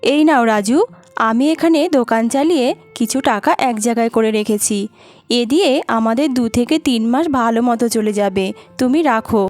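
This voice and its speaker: A woman playing a gentle, steadfast young wife in a cartoon, soothing and encouraging in manner. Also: on the high side, a little fast, lightly acted. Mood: reassuring. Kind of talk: cartoon dubbing. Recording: music behind the voice.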